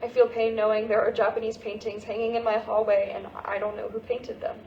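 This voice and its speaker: In a roomy, echoing theatre with faint noise, a woman plays a teenage American girl searching for who she is. Her voice is fairly high, and she delivers an emotional stage monologue full of grief. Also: level tone, fairly quick, creaky, a little mellow, highs cut off. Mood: pained.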